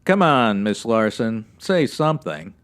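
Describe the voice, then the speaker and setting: Man as slow, swooping police lieutenant. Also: fairly low pitch, sing-song, fairly bright tone. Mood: impatient, puzzled. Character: male police lieutenant, impatient, puzzled. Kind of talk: 1940s radio noir acting.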